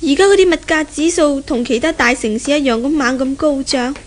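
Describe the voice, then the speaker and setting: Woman acting an earnest high-voiced young bride-to-be. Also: fairly quick, natural voice. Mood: hopeful. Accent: Hong Kong Cantonese. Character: polite, earnest young bride-to-be, university graduate daughter. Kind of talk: 1970s Cantonese radio drama acting. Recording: 1970s radio recording, faint noise.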